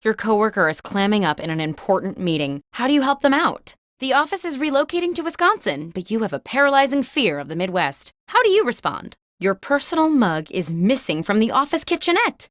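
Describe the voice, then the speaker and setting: Animated office interviewer game host. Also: female voice, on the high side, a little fast, hardly any pauses, no room sound, clean studio sound. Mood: composed, quizzical. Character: office job interviewer posing workplace questions. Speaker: game host reading prompts. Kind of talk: scripted video game host narration.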